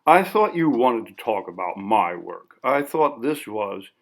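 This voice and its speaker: A man's voice: on the low side, big pitch swings, unhurried, gravelly, exaggerated voice. A man voicing a bitter, defensive sculptor in an audiobook story, curt and profane under questioning. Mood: irritated. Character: bitter, hostile injured male sculptor being interviewed.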